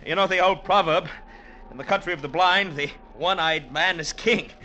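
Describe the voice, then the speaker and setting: An actor playing a curious, wry mountain climber in a mid-century radio drama. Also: male voice, fairly high pitch, moderate pace, bright, forceful tone. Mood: wistful, joking. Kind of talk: 1940s-50s radio acting. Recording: dull vintage radio with background noise.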